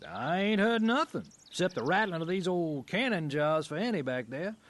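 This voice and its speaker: Backwoods moonshiner dad: rough, wily, Appalachian, folksy grammar, high male register, very animated. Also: normal speed. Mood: sly, folksy. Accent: American Appalachian. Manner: folksy, drawling, ungrammatical country speech. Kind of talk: radio drama acting.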